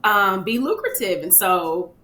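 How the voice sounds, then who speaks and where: A woman's voice: medium pitch, expressive, a little slow, bright, forceful tone, slight background noise. A woman guest on a podcast, chatting casually about her work and often asking "you know what I mean?". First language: English. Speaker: podcast guest, children's book author. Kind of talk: casual interview talk. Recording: roomy echo.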